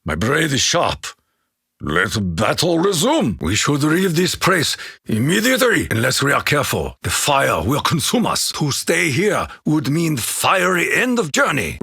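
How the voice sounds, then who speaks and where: An actor voicing a solemn, proud Japanese samurai warrior for a video game, with a raspy voice, a Japanese accent and formal, grave phrasing. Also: male voice, a little high, wildly swooping pitch, a little slow, bright, forceful tone, dry recording, studio quality, full character voice. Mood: urgent, fierce. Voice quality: raspy, gruff. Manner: formal, grave, proverb-like phrasing with dramatic emphasis. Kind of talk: video game character voice lines.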